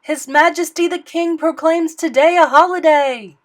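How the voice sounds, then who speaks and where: A woman's voice: a little high, slow pace, a bit bright, pitched above the performer's own voice. A woman voicing an earnest young page boy who sounds worried and urgent, often calling out. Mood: alarmed.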